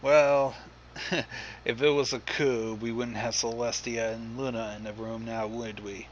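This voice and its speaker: A man with a mid-pitched voice chats casually as a co-host. The sound is dull and lo-fi, with faint noise underneath. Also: level tone, unhurried. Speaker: co-host commenting on the reading. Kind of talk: casual banter.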